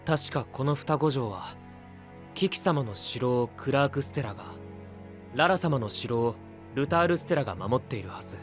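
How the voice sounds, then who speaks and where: A man voicing a calm, level-headed young male knight in an anime voice drama, speaking politely and leading the group. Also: mid-range voice, moderate pace, faint music behind, lightly acted. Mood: steady.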